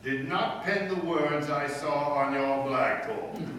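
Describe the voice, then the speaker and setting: A man playing a stern, pompous, middle-aged high school principal with formal diction in old-time radio comedy style. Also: slow pace, a bit bright. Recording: echoey room from far off, faint noise.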